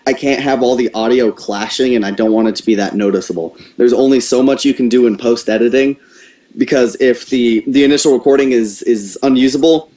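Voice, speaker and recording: Dark-voiced young man in a roomy space. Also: mid-range voice. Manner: blunt, exacting. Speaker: audio editor of an amateur radio play. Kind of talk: casual group call briefing. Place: online group voice call, roommates nearby. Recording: roomy, echoing voice-call sound.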